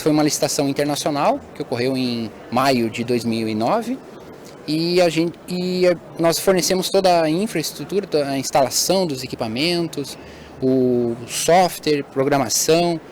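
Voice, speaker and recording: A man's voice: a little high, normal speed, a little mellow. Guy presenting a system, kinda hesitant, restarts a lot, faint noise behind him. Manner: hesitant with fillers and self-corrections. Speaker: company presenter. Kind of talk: webinar presentation.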